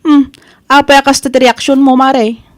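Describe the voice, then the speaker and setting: Woman acting as secretive village lady, Filipino accent, high voice, dry close sound, radio comedy drama. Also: little pitch movement, moderate pace, no echo, close to an everyday voice. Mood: teasing.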